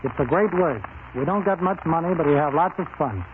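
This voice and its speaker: Man, high-pitched, scripted radio storytelling, police guest, narrow hissy vintage recording. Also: animated, a little fast. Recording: tinny, hissy 1930s radio broadcast.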